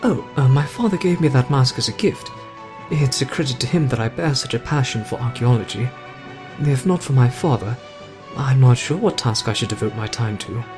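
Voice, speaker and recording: A man playing a courteous, earnest young British gentleman. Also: medium pitch, animated, normal speed, over music, lightly acted. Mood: warm, gracious. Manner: polite, formal, sincere phrasing. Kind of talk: ASMR roleplay addressed to the listener.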